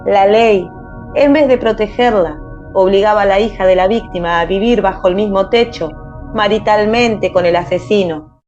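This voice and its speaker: A woman's voice: medium pitch, normal speed, darkish tone. A woman narrating a literary story as a dramatized radio reading. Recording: slightly muffled, music underneath.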